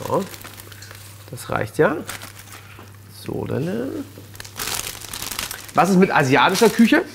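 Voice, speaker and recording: A man's voice: a little high, sing-song, slow pace, gravelly, a bit bright. A man in his thirties hosts a cooking show with a somewhat raised voice, talking in a chatty, joking way as he explains each step at the stove. He is recorded on a lapel mic in a studio kitchen set, with a light layer of noise behind him. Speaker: TV chef and host. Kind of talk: casual cooking show chat.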